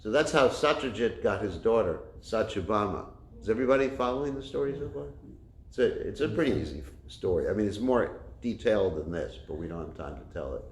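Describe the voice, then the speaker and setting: A man with a loud voice giving a religious class, telling a story with many fillers and restarts, recorded without a microphone. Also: on the low side, animated, fairly quick, slight background noise. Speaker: spiritual teacher. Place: class room with a kitchen nearby. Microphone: no microphone, room recording. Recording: echoey room.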